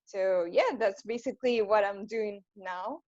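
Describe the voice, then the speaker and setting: A woman's voice: medium pitch, a little slow, darkish tone, dry recording, muffled recording. Argentine woman chatting on a call. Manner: chatty, friendly, fillers and light laughs. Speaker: panel guest. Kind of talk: casual panel talk.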